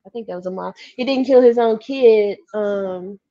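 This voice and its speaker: A woman chatting casually in a book discussion, reasoning through her points out loud. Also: medium pitch, moderate pace, lo-fi sound. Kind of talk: casual chat. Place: room at home, video call.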